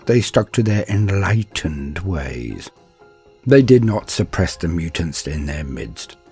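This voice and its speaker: A man's voice: sits low, dark tone, breathy edge, exaggerated voice. A man voicing an eager young space marine soldier on his first heavy-weapons mission, telling his story earnestly in first person. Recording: faint music underneath.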